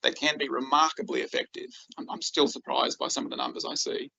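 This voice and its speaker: A man's voice: mid-range voice, level tone, fast, creaky, pushed and bright, no room sound, lo-fi sound. A man with an Australian accent giving a conference talk as a researcher, enthusiastic and full of ums and repeated words. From Australia, rural northeast Victoria.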